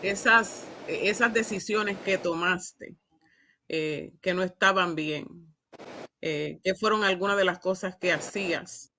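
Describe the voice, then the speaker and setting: A woman hosting a religious testimony interview, haltingly phrasing her questions and punctuating them with amens. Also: lowish voice, bright, forceful tone, a little hiss or hum. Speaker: host interviewing a guest.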